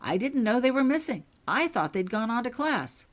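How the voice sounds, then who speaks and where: A woman's voice: on the high side, big pitch swings, moderate pace, pitched above the performer's own voice. A woman voicing an anxious, apologetic older woman caretaker in a storybook reading, flustered in manner. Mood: anxious, sorry.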